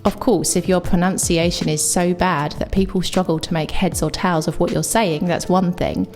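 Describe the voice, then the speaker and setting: A woman with a London accent, an English teacher delivering a scripted, reflective video essay with clear opinions. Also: on the low side, steady tone, moderate pace, a little mellow, faint music behind. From England.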